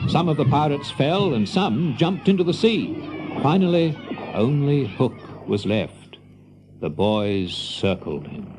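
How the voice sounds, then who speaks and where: Expressive male storyteller over music. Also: a little high, slow, crowd noise, rough recording. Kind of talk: dramatic scripted storybook narration.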